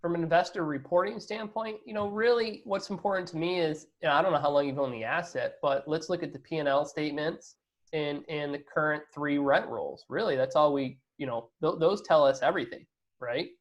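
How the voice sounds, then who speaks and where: A man's voice: on the high side, fairly quick, dull audio. A man answering as a guest investor in a conversational business interview, talking emphatically and often checking in with rhetorical questions.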